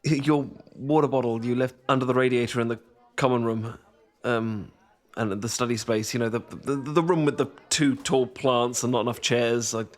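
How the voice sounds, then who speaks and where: A man's voice: medium pitch, fairly even intonation, normal speed, few pauses, lightly acted. A young British man playing a shy, self-deprecating student in an audio roleplay, hesitant and stammering as he talks to his best mate. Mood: fond, sheepish. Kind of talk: ASMR boyfriend-style audio roleplay, casual monologue.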